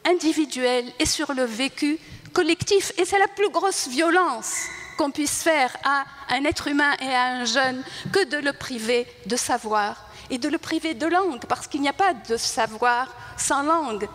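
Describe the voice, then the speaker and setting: An older woman born in Tunisia whose first language is Arabic, speaking at a panel talk and reflecting in a halting way, often searching for words and restarting. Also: sits high, a bit bright. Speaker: writer on a panel. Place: large hall. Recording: reverberant hall.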